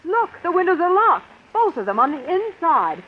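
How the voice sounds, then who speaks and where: A woman's voice: extremely high pitch, very animated, moderate pace. An actress playing an earnest, alert young woman with a questioning manner, in 1940s radio suspense style. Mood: puzzled, urgent. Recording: muffled, noisy vintage radio recording.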